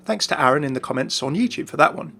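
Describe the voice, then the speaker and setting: A man's voice: a little high, expressive, fast, pushed and bright, faint music behind. A man narrating scripted commentary for an online video.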